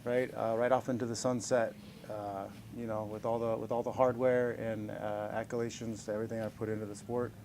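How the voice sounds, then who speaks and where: A man's voice: on the low side, flat delivery, a little fast, a little mellow, slight background noise. Upbeat chuckling man in his forties. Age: 40s. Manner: upbeat, chuckling, self-deprecating. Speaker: professional fighter being interviewed. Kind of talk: casual press scrum answers.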